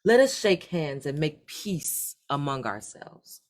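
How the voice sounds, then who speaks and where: A woman's voice: low-pitched, very animated, slow, full character voice. A woman voicing an assertive, self-assured young man, the eldest brother in a folktale. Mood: conciliatory. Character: bossy, boastful oldest brother, young man.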